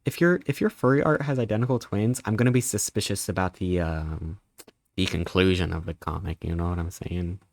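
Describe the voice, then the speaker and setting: Man in his thirties, streamer, low voice, dry close sound, playful rambling, squeaky pitch jumps. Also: a little fast, gravelly, darkish tone, no room sound. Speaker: live streamer. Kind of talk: casual live-stream chat reading chat messages.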